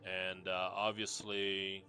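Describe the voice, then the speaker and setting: A man's voice: low-pitched, monotone, slow pace, sharp tone. A man from Puerto Rico casually showing off his game character builds, rambling with lots of fillers and restarts. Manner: rambling, laid-back, many fillers and restarts. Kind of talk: casual game showcase chat.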